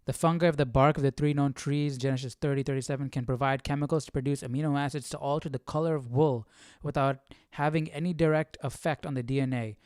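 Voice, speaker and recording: A man hosting a casual live Bible study, reading aloud and thinking out loud in an informal, slangy way. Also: mid-range voice, steady tone, quick pace, clear, resonant tone, dry recording, clean studio sound.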